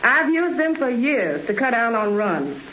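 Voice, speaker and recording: A woman's voice: a little high, very animated, unhurried, bright, forceful tone. A woman on a radio broadcast from her home, reading lines in a teasing, playful way. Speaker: guest's wife. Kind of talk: scripted remote radio chat. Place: home trophy room. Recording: echoey, dull remote radio line, hiss.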